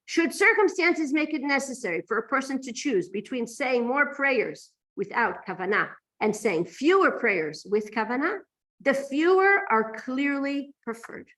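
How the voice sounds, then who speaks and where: A woman's voice: sits high, lively intonation, a little slow, a bit bright. A woman teaching a religious class, explaining texts emphatically and often posing rhetorical questions to her listeners. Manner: emphatic, poses rhetorical questions and checks "right?".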